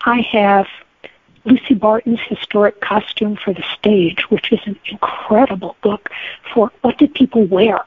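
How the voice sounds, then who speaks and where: Husky woman on a tinny phone-like line. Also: medium pitch, steady tone, measured pace, no echo. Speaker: interview guest, novelist. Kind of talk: conversational interview.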